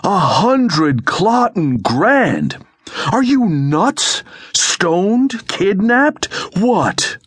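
A man's voice: medium pitch, wildly swooping pitch, slow, husky, dry recording, studio quality, voice pushed up, heavily acted. A man voicing a brash, irritable woman in an audiobook, grumbling and exclaiming with scorn. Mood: outraged.

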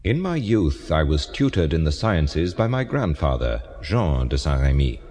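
A solemn renaissance seer in a radio play, formal and oracular, swooping delivery, big cavernous reverb. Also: male voice, very deep voice, big pitch swings, unhurried, a little mellow, full character voice. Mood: solemn reminiscence. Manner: grave, oracular, formal archaic phrasing. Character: solemn French Renaissance prophet and physician recounting his life. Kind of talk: dramatised audiobook monologue, theatrical declamation.

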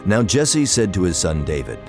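A man narrates scripture as scripted drama. His voice is deep, with widely varied intonation. Music plays clearly behind him. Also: huge pitch swings, normal speed.

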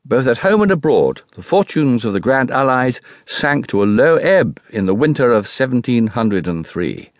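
A man with an English accent giving a formal, measured, oratorical reading of literary history as a narrator. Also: mid-range voice, very animated, no room sound, studio quality.

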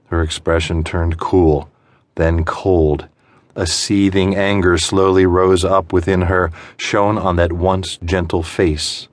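A man narrating suspense fiction as an audiobook, with measured, deliberate phrasing and dramatic pauses. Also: low voice, no echo.

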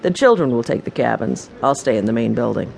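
A woman voicing a calm, kindly old grandfather in a children's audiobook. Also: very deep voice, fairly quick, gravelly, slight background noise, pitched below the performer's own voice, exaggerated voice. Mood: calm, agreeable.

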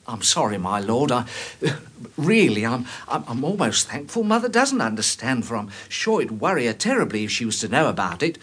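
A man's voice: mid-range voice, big pitch swings, a little fast, fairly bright tone, breathy edge. An actor playing a timid, fussy, deferential Englishman in a radio drama, stammering and obsequious, with an English accent. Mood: flustered, grateful. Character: timid, deferential, fussy little lower-middle-class Englishman. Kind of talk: full-cast BBC radio drama acting.

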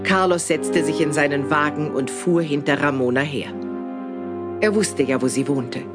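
A woman's voice: low-pitched, normal speed. A woman narrating a scripted audio drama in a storytelling role. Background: music.

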